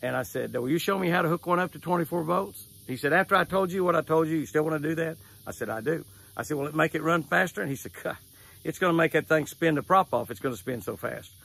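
Gravelly older man, folksy storytelling. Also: fairly high pitch, expressive, fast, fairly bright tone. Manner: folksy storytelling, repeats key phrases. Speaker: devotional host and angler. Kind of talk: casual outdoor devotional and fishing tip. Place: lakeside lawn outdoors at sunrise.

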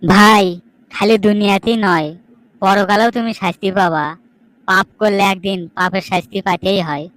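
A woman's voice: mid-range voice, steady tone, moderate pace, a bit bright, no room sound, natural voice, rough recording. A proud younger brother from a village cartoon, played by a woman, speaks in a dry, close recording. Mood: indignant. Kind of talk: amateur cartoon dialogue.

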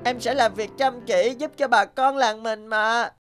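A woman voicing a gentle young dragon in a cartoon, soft and pleading. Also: a little high, steady tone, unhurried, music in the background, close to an everyday voice. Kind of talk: cartoon voice acting.

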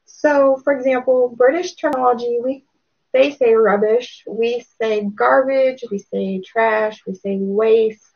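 A woman's voice: fairly high pitch, slightly rough. A woman with a Midland American accent from Missouri, giving a chatty webinar lesson over a webcam with lots of fillers. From Midwestern United States. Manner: chatty, many fillers and restarts. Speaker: webinar presenter. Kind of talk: casual slideshow lecture. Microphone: webcam on a computer. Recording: dull lo-fi webinar audio.

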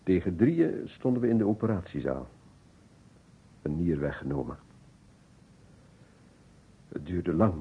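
An actor playing an elderly, courteous, talkative professor of surgery in a Dutch radio play, speaking deliberately. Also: male voice, deep voice, very animated, a little hiss or hum, heavily acted. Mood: assured, talkative. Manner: deliberate, reminiscing, searching for names. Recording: muffled old radio recording, narrow band.

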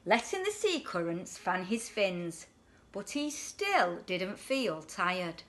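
A woman in her forties reads a picture book to children in an animated, deliberate way, leaning on the rhyming words. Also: medium pitch, a little hiss or hum. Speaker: deputy headteacher reading a children's story. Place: indoors at home on a sofa.

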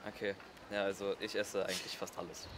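A man's voice: fairly low pitch, little pitch movement, a little fast, noisy background. A young man answering a street reporter bluntly into a handheld mic outdoors. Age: young adult. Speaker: interviewee on the street. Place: outdoors in a town square.

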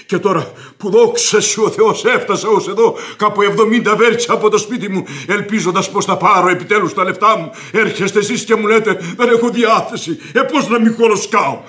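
An actor playing a hot-tempered, indignant landowner who rants and repeats himself in a classic radio theatre style. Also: male voice, high-pitched, few pauses, sharp tone. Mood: aggrieved. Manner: exclamatory, repetitive ranting.

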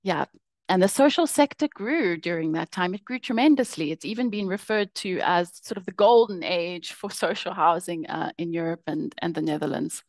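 Low-voiced woman giving an academic talk. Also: no room sound, clean studio sound. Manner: measured, explanatory, with some fillers and restarts. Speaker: research seminar presenter. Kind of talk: academic presentation over slides. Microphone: video call.

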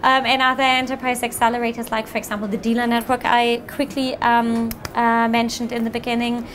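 Woman presenting software at a meetup. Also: on the high side, flat delivery, a little fast, slight background noise. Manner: friendly, fluent, frequent ums. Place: meetup room with audience.

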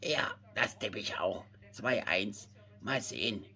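A man putting on a high, eager voice for a chirpy puppet friend in a homemade puppet play. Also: lively intonation, slow pace, bright, forceful tone, full character voice.

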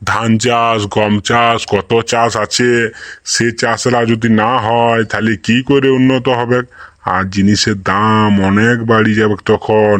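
Man as cartoon village schoolteacher, mid-pitched male voice, rural western Bengali accent, didactic, folksy, dry close sound. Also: a bit bright, dry recording, natural voice. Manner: didactic, folksy, checks for understanding. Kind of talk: comic cartoon dialogue.

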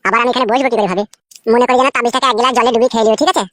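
A woman's voice: fairly high pitch, steady tone, racing, a bit bright, no echo, studio quality, lightly acted. A woman voicing a scheming young village man in a cartoon, speaking in a wheedling, rustic way. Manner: wheedling, rustic dialect speech. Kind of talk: cartoon voice acting.

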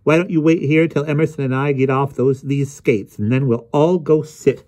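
A man's voice: mid-range voice, fairly even intonation, moderate pace, darkish tone, pitched above the performer's own voice, close to an everyday voice. A man voicing a spirited, chatty, eccentric woman librarian with a teasing, exclamatory manner in an audiobook story. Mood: grumbling, eager.

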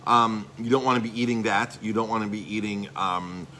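A man's voice: on the low side, fairly even intonation, brisk, sharp tone, slight background noise. A middle-aged man, a chef and restaurant owner, giving a rambling, salesy live-stream update with lots of filler words.

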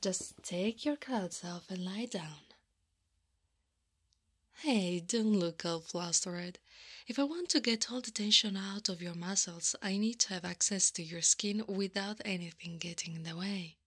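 Woman as a slow, breathy, caring older centaur lady. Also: medium pitch, no echo, studio quality. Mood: teasing, coaxing. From Italy. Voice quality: breathy, often whispering. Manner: slow, soothing, softly teasing. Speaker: ASMR roleplay performer. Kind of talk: ASMR roleplay.

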